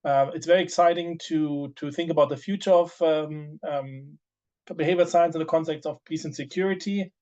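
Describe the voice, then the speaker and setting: A man's voice: a little high, steady tone, a little fast, warm tone, lo-fi sound. A man moderating an online panel, speaking with many fillers and restarts over a video call. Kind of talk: webinar moderation.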